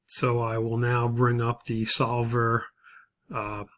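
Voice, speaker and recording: A male instructor with a mid-range voice walks through material step by step. His delivery is halting, with frequent pauses and hesitations as he explains. It sounds like a spoken software tutorial. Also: medium pitch, monotone.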